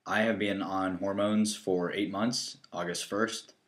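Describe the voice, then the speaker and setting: A man's voice: low voice, steady tone, measured pace, a bit bright. A man in his early twenties, co-hosting a casual chat in a plain, matter-of-fact way with a wry edge. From northeastern Ohio. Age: 20s. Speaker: co-host.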